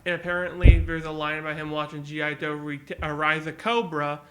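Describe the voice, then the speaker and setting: A man's voice: fairly high pitch, brisk. A young man with a nasal voice, co-hosting a casual podcast chat and rambling through stories. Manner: rambling, animated storytelling with put-on voices. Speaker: podcast co-host.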